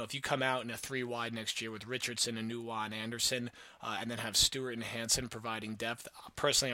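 A man's voice: mid-range voice, bright, forceful tone, no echo. A man with an American accent hosting a sports podcast, giving analytical, opinionated takes. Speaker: podcast host.

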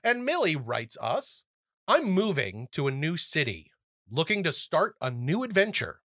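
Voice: mid-range voice, sing-song, measured pace, bright, forceful tone, dry recording, clean studio sound. A podcast host chatting and reading out a listener's letter, chatty and encouraging. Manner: chatty, encouraging, laughs often.

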